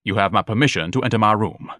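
A man's voice: on the low side, fast, bright, forceful tone, dry recording, studio quality. A man doing a pompous, melodramatic overgrown son. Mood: lofty, dismissive. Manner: pompous, florid, haughty declaiming. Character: pompous, self-pitying, melodramatic grown son, grandiose slob. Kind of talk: audiobook character dialogue.

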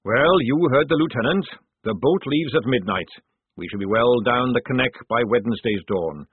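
A man voicing a gruff, sardonic old army sergeant in an audiobook, briskly giving orders. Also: medium pitch, animated, dry recording. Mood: commanding. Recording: narrow phone-like sound.

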